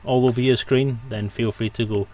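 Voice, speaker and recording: A man's voice: mid-range voice, steady tone, a little fast, mellow, slight background noise, rough recording. A man hosting a livestream, chatting casually with friends and his viewers. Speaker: stream host. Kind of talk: casual stream chat.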